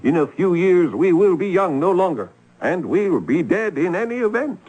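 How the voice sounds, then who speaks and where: An actor playing a starving, melancholy, grandiose painter in a radio drama, solemn and theatrical. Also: male voice, high voice, expressive, normal speed, a little mellow, rough recording. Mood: bleak, resolved. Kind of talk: old-time radio drama acting.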